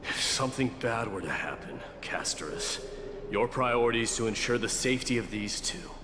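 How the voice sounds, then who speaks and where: A man's voice: medium pitch, expressive, big-room sound, background noise, lightly acted. A man playing a grave, courteous warrior lord in a video game, speaking in a formal, commanding and protective way. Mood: resolute. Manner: measured, formal, authoritative.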